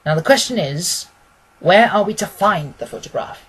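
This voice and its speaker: A man reading an audiobook, voicing a confident, analytical gentleman detective with an emphatic manner. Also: high-pitched, expressive, moderate pace, a little hiss or hum. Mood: assured, analytical. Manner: deductive, emphatic, self-assured.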